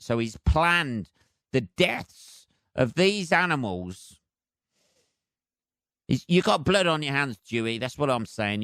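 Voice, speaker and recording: A man's voice: fairly low pitch, a bit bright, clean tone, dry recording, studio quality. A British man hosting a solo comedy podcast, ranting casually in a sweary, sardonic, rambling way. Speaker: podcast host.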